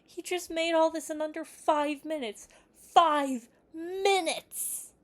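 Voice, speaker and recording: A woman voicing an excitable, whiny young male ninja, eager and exclamatory, in a story reading. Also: very high-pitched, slow pace, pitched above the performer's own voice. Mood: whiny disbelief.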